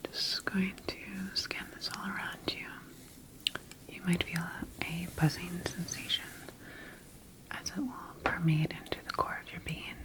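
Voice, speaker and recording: A woman performs an ASMR roleplay in a breathy, unvoiced whisper. She plays a calm, clinical scientist, speaking very slowly and deliberately, with soothing reassurance. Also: on the low side, low-quality recording. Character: calm, clinical secret military scientist examining her creation.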